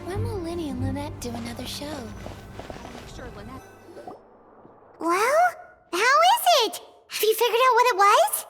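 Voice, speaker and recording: A tiny childlike game mascot with an extremely high, squeaky voice that bounces all over. It blurts things out excitably, with loud music behind. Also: female voice, normal speed, big-room sound. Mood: curious. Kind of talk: scripted video game voice acting.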